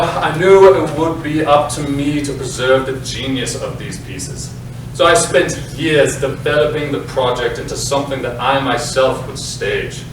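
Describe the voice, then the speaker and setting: An actor playing a pompous, self-important British playwright, delivering a grandiose, melodramatic stage monologue with dramatic pauses. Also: male voice, mid-range voice, natural voice. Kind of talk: theatrical monologue at a staged reading. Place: live staged reading, echoey indoor space. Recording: echoey room, distant mic, faint noise.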